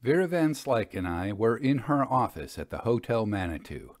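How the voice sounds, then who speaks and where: A man narrating a scripted story in a measured, expressive, bookish manner. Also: mid-range voice, no room sound, studio quality.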